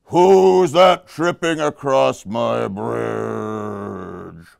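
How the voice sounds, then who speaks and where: A man voicing a horrible, mean, always-hungry storybook troll who bullies with slow, drawn-out threats. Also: mid-range voice, gravelly, heavily acted. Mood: menacing. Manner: slow, drawn-out menacing challenges.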